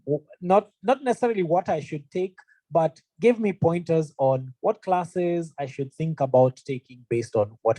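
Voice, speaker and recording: Man from Kenya, young adult, warm mellow voice, dry close sound, earnest chatty talk. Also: on the high side, no room sound, poor audio. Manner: earnest, conversational, frequent fillers. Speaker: university representative. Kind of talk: presentation to prospective students. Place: room presentation, also streamed online.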